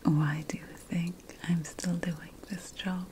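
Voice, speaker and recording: A woman voicing a sly, conspiratorial potion-making witch in an ASMR fantasy roleplay, whispering slowly and deliberately with dramatic pauses. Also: fairly low pitch, level tone, mellow, a little hiss or hum. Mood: sly, secretive.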